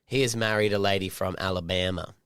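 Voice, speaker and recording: A middle-aged man co-hosting a podcast, chatting casually and teasing with quick storytelling. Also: low voice, steady tone, noisy background. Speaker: podcast co-host. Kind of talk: casual chat.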